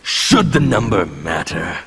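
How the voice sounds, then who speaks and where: Gravelly menacing rebel zealot, slow, wildly swinging pitch, snarled game-intro lines over loud noise. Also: male voice, sing-song, heavily acted. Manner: snarling, theatrical, harsh emphatic delivery. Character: menacing male revolutionary zealot, intimidating. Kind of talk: fighting game intro dialogue.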